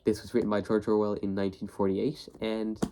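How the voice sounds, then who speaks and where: Young male host, a bit sniffly from a cold, low voice, loose rambling delivery. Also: flat delivery, a little fast, warm tone, a little hiss or hum. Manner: rambling, many fillers and restarts. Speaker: book video host. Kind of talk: casual talk to camera.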